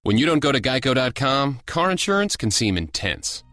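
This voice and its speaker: A man's voice: medium pitch, very animated, normal speed, sharp tone, dry recording. A man reading scripted narration as a radio commercial announcer. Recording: music bed under the voice.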